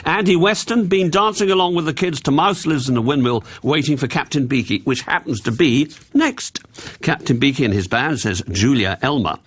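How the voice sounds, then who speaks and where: A man's voice: on the high side, normal speed, slightly rough. An English man in his sixties hosting a radio request show, chatting and reading out listeners' messages. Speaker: radio host.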